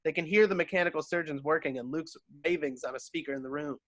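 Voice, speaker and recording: A man's voice: a little high, fast, fairly bright tone, dry recording. A man narrating screenplay stage directions from a script at a table read.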